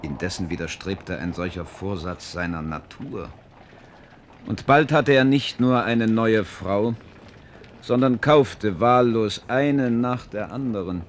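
An actor playing a shrewd, measured adviser to a king, formal and deliberate, in a German radio play. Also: fairly low pitch. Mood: dry storytelling. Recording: 1970s radio drama, faint background noise.

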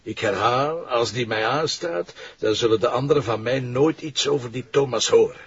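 Sly, needling Flemish detective, played by an actor. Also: male voice, mid-range voice, moderate pace, a little hiss or hum, close to an everyday voice. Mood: smug, menacing. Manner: smug, needling. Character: sly, taunting police detective, coolly blackmailing. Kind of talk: radio drama acting. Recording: dull radio drama recording.

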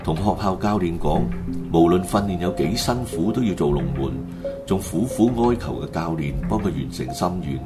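A man's voice: low-pitched, lively intonation, moderate pace. A man with a Hong Kong Cantonese accent, narrating a scripted radio drama as a storyteller. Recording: loud music bed under the voice. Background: music.

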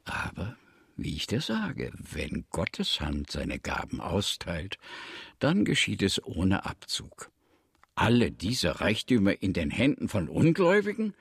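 Deep gravelly old man, pious and expansive. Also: huge pitch swings, a little slow, dry recording, clean studio sound, exaggerated voice. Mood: gleeful, boastful. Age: older. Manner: expansive, storytelling, declamatory. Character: talkative, pious old villager grown rich, eagerly hospitable. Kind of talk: radio drama acting.

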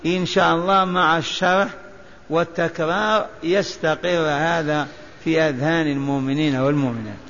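A man from Algeria teaching as a religious scholar. He chants short memorized lists in a drawn-out, sing-song recitation and has his listeners repeat after him. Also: on the high side, fairly even intonation, fairly bright tone. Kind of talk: lecture teaching by recitation and repetition. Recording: echoey hall, dull lo-fi sound, faint hiss.

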